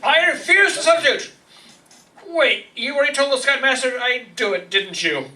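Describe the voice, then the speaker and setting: A man playing an exasperated but good-natured husband in an old-time radio sitcom, protesting in an animated way. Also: very high voice, normal speed, distant mic, exaggerated voice, poor audio.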